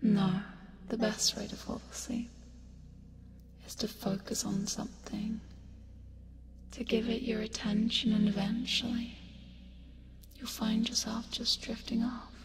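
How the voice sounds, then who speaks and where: A woman playing a teasing, creepy yet caring sleep paralysis demon in a breathy, soothing ASMR hypnosis roleplay, close to the microphone. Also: fairly high pitch, level tone, noisy background. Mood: soothing, hypnotic. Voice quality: breathy, whispery. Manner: slow, soothing, coaxing with teasing asides. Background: music.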